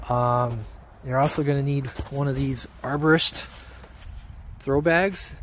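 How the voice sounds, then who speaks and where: A man giving a casual, off-the-cuff how-to explanation outdoors in an informal, rambling, halting way. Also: mid-range voice, fairly even intonation, fairly bright tone. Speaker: hobby radio vlogger. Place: outdoors among trees. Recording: outdoor handheld video with background noise.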